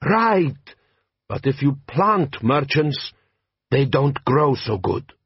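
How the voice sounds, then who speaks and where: A man voicing a hearty, shrewd desert bandit chief who speaks slow, broken English. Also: mid-range voice, sing-song, husky, no room sound, highs cut off, voice pushed up, exaggerated voice.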